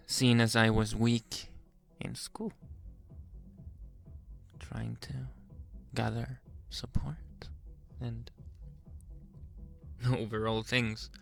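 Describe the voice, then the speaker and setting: Slow-talking, flirty reformed bully played by a man. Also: medium pitch. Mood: apologetic, reflective. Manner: soft, halting confession with breathy chuckles and trailing phrases. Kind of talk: intimate ASMR roleplay monologue.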